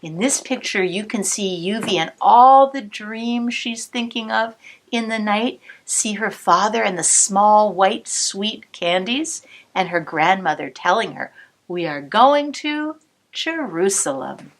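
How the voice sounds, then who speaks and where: A woman cantor reading a picture book aloud to children, expressive, pausing to point out the pictures. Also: medium pitch. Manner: warm, expressive storytelling with asides to the listener.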